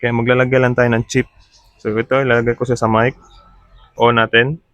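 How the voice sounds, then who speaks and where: A man's voice: medium pitch, little pitch movement, fairly quick, fairly bright tone, dry recording. Guy from doing a casual repair vlog, talks thru each step, drops english tech words. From Philippines. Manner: informal, explains step by step, mixing in English terms. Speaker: repair technician demonstrating his work.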